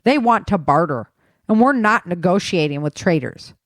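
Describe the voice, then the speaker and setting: A woman's voice: on the low side, animated, normal speed, no echo, clean studio sound. Woman reading as ruthless, disdainful army captain. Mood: insistent, disdainful. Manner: dismissive, insistent.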